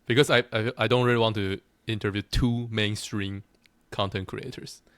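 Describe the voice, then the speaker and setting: Low-voiced Taiwanese man interviewing. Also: steady tone, moderate pace, fairly bright tone, clean tone, dry recording, studio quality. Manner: brief, affirming with short remarks. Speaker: interviewer, host. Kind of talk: casual interview questions.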